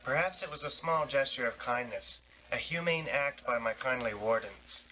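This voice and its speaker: A man reading a first-person literary piece in a measured, dramatic way. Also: mid-range voice, bright, forceful tone, dull audio, a little hiss or hum.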